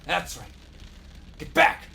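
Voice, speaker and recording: A man's voice: slow pace, sharp tone, slight background noise. An actor playing a reckless, defiant drunk man in an audio drama, blustering in colloquial speech. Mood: frantic defiance.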